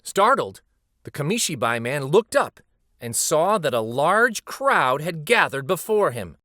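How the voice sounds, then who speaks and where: A man narrating a children's picture book aloud in an expressive storytelling style. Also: on the high side, a little slow, dry recording, clean studio sound.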